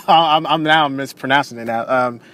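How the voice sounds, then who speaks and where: A man's voice: medium pitch, a little slow, fairly bright tone. A man hosting an interview show, upbeat and chatty with many fillers. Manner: upbeat, filler-heavy, lots of "man" and "you know". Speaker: host. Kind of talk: casual interview.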